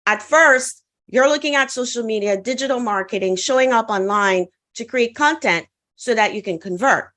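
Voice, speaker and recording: A woman's voice: a little high, normal speed, few pauses, fairly bright tone. A woman from the Caribbean whose first language is French, giving an online conference talk in an upbeat coaching manner and often asking the audience to answer in the chat. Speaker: conference speaker.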